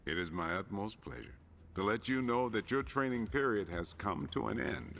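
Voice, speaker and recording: A man's voice: fairly low pitch, lively intonation, normal speed. An actor playing a composed, authoritative male team leader in a video game, formal and dry in manner. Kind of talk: video game cutscene acting. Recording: music under the voice.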